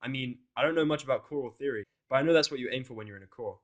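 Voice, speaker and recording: A man's voice: mid-range voice, very fast. A man narrating a first-person fan fiction audio drama in a sardonic, exasperated way.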